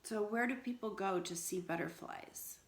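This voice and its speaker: The voice is a middle-aged American woman with an average pitch. She reads aloud as a teacher does for young children, explaining things simply. The room around her is noticeably echoey, as if recorded on a camera a little way off. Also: normal speed. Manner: clear, simple explaining with questions to listeners. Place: small indoor room at home, seated on a bench. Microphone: camera or phone a short distance away.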